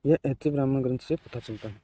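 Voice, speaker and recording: A man giving an exam-preparation lecture as a teacher, haltingly repeating and restating his key phrases. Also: mid-range voice, warm tone. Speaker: teacher of exam history lessons.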